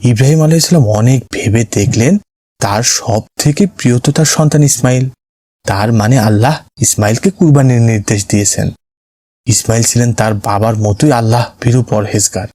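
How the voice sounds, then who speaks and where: A man acts as a devout cartoon father teaching his child. His voice is mid-pitched, soft-edged and mellow, recorded very dry. He explains things patiently. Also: moderate pace, no echo, natural voice. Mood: solemn storytelling. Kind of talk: Islamic cartoon dialogue, storytelling.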